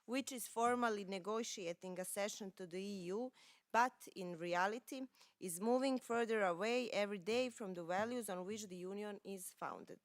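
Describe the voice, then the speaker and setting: A woman's voice: mid-range voice, moderate pace, no room sound. A woman from Serbia, a native Serbian speaker with a Serbian accent, delivering a prepared political speech.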